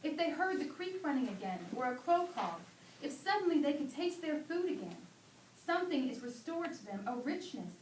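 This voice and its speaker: A woman's voice: high-pitched, big pitch swings, normal speed. A woman giving an earnest dramatic staged reading of a sermon, drawing out key phrases for emphasis. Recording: echoey distant hall, faint background noise.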